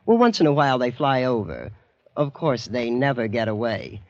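An actor playing a blasé, boastful young American heir in a vintage radio drama, nonchalant and smug. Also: male voice, mid-range voice, expressive, normal speed, no room sound, lightly acted. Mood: smug, casual. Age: young adult. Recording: muffled vintage radio recording.

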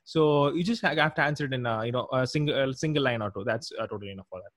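A young man with a mid-range voice hosts a casual podcast interview. He talks loosely with lots of fillers and keeps nodding along with quick affirmations. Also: mid-range voice, a bit bright, dry recording, clean studio sound. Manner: chatty, many fillers, frequent affirmations. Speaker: podcast host.

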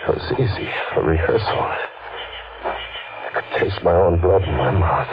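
An actor playing a bitter, desperate, dying killer in a 1940s radio thriller, speaking in terse hard-boiled phrases. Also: male voice, sits low, unhurried. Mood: grim, tense. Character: dying male killer, bitter and desperate, narrating his end. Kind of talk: 1940s radio thriller acting, first-person narration. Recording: noisy, muffled old radio broadcast.

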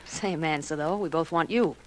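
Actress playing a low-voiced, menacing jealous wife. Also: female voice, lightly acted. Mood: cold, mocking. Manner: coolly threatening, deliberate. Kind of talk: 1940s radio acting. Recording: noisy old 1940s radio recording.

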